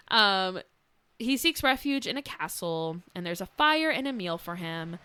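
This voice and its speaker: A woman's voice: mid-range voice, very animated, slow pace, pushed and bright, clean tone, no echo. A young woman co-hosting a podcast, casually retelling a fairytale with sarcastic asides, laughter and quotes acted out in voices. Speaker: podcast co-host. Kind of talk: casual storytelling chat.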